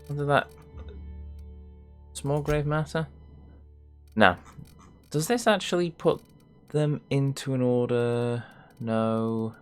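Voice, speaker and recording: Playful man doing game commentary, medium pitch. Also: slow, pauses often, clean tone, faint music behind. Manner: playful, mutters and sing-songs to himself. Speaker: game streamer reading dialogue and in-game text aloud. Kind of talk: casual let's play commentary with expressive read-aloud.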